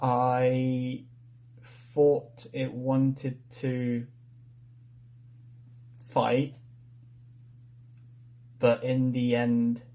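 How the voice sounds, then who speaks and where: A man retelling a story from memory in a halting, unsure manner, piecing sentences together bit by bit. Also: medium pitch, completely flat delivery, warm tone. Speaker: participant in a story-retelling game.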